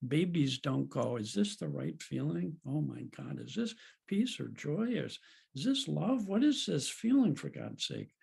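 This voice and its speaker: A man leading a group video call as a spiritual teacher, speaking in a gentle, unhurried way and laughing softly now and then. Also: fairly high pitch, very animated, gravelly, slightly rough. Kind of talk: reflective teaching talk.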